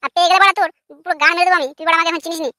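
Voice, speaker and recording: A woman's voice: extremely high pitch, steady tone, very fast, sharp tone, dry recording, clean studio sound. A woman voicing a cheeky, foul-mouthed, argumentative village boy in a comic cartoon. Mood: boastful, threatening. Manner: brash, argumentative, swearing.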